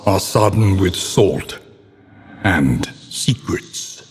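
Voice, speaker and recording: A solemn narrator of a ruined gothic world, speaks with heavy, foreboding theatricality. His voice is low and very unhurried, each phrase measured out for a dark fantasy game. A long reverb trails behind the words. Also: lively intonation, slight background noise, full character voice. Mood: grim, foreboding. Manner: theatrical, ominous, measured, florid. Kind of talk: dramatic scripted game narration.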